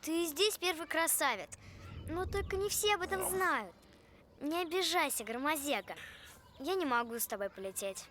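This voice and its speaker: An adventurous cartoon schoolgirl, high pitched, earnest, bit of background noise. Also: lively intonation, moderate pace, a bit bright. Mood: reassuring. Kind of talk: animated film voice acting.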